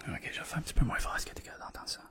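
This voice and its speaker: A person with a Quebec French accent whispering softly in an ASMR roleplay as a clinician, close to the microphone, often repeating short words. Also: very fast. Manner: soft-spoken, murmured repetition of short words. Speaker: ASMR performer playing a clinician doing a hearing test.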